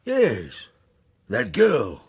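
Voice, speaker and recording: An actor playing an earthy, leering old sea captain in a radio drama, talking in a colloquial, teasing, insinuating way. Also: male voice, sits high, wildly swooping pitch, extremely slow, creaky, a little mellow, breathy edge, heavily acted. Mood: gossipy.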